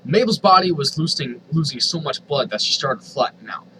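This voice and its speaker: A man's voice: a little high, lively intonation, a little fast, noisy background. A man reading a story aloud in a theatrical, comedic way, with incredulous asides. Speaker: host reading fan fiction aloud.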